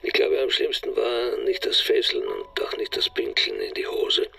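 An actor playing a distraught, bitter elderly father in a radio crime drama, halting and dropping into whispers. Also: mid-range voice, huge pitch swings, sharp tone, exaggerated voice. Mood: grieving, stunned. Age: older. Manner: halting, breathy drops into whispering. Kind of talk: radio crime drama acting, monologue and dialogue.

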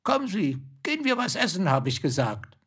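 An actor playing a shady, sentimental old businessman in a radio play, raspy and self-important, with drawn-out theatrical delivery. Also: high voice, big pitch swings, a bit bright, heavily acted. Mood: wistful. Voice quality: raspy, creaky.

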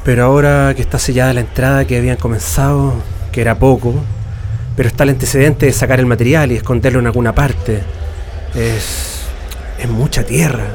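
An actor playing a cautious, methodical political prisoner plotting an escape, with a Chilean accent and deliberate, halting delivery in a radio drama. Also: male voice, mid-range voice, steady tone, darkish tone, a little hiss or hum, lightly acted. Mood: cautious, resolute.

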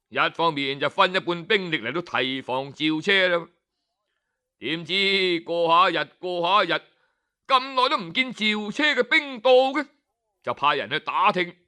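A man with a Hong Kong Cantonese accent spins an old tale in the traditional storyteller's manner. His voice sits high and carries a clean, smooth tone, and he lingers over particles and pauses for effect. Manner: leisurely, expressive, with drawn-out particles and dramatic pauses.

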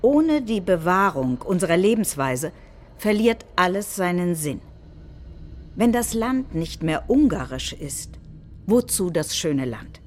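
A woman's voice: on the low side, very animated, slow pace, a little mellow, full character voice. A woman playing a combative nationalist head of government, delivering a political speech in a staged reading, solemn and declamatory.

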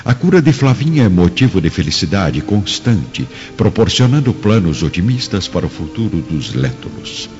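A man's voice: low-pitched, big pitch swings, normal speed, hardly any pauses, a little mellow. A man with a Brazilian accent narrates a scripted radio drama in a solemn, theatrical storytelling style. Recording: dull lo-fi recording. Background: clear background music.

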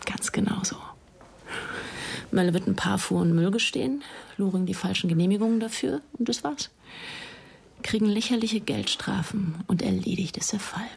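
A weary female police investigator in a naturalistic radio crime drama. Her husky voice often breaks into creak. She is bitter, terse and sardonic. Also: mid-range voice, moderate pace, darkish tone.

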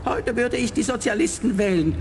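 An actress playing a suspicious, embittered elderly pensioner in a radio play, grumbling and guarded. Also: female voice, fairly high pitch, normal speed, darkish tone, lightly acted. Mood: indignant, guarded. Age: older. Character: suspicious, embittered old woman pensioner, politically outspoken. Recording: noisy background ambience.

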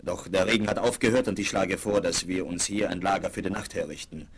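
An actor playing a calm, commanding frontier hero in a children's western radio play, speaking in measured, articulate phrases. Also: male voice, low voice, breathy edge. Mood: assured. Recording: crackly vinyl rip of radio play.

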